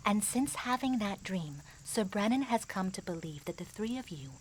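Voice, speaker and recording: A woman playing a grave mystic advisor in a fantasy audio drama, speaking formally, in an earnest and measured way. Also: medium pitch, lively intonation, a little hiss or hum, close to an everyday voice, rough recording.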